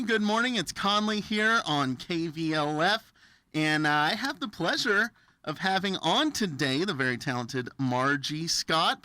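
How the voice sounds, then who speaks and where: A man hosting a casual radio interview, with halting, hesitant phrasing. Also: fairly high pitch, bright, forceful tone, no echo. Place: radio studio, face to face with guest.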